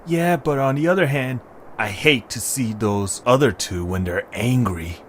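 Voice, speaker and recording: A man's voice: mid-range voice, measured pace, a bit bright, slight background noise, lightly acted. A man playing a good-natured, weary young adventurer with a casual, exasperated manner in a fan audio drama. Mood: casual, thoughtful. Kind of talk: fan audio drama acting with storybook narration.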